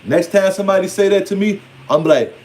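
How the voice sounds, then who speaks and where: American male, 40s, high-pitched voice, streetwise and emphatic, off-the-cuff monologue. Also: animated, a little fast, darkish tone. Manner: streetwise, emphatic, rambling, often swearing and quoting others. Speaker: online speaker. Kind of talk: informal spoken monologue to followers.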